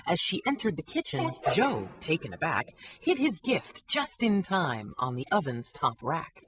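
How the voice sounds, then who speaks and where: A woman narrating a rhyming children's story with an expressive, scripted delivery. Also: sits low, measured pace.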